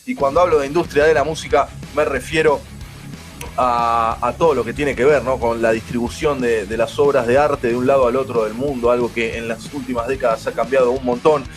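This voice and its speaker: A man, hosting a radio show and chatting excitedly over a remote call. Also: medium pitch, faint music behind. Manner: fast, enthusiastic, slangy. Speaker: radio host. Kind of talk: casual radio chat.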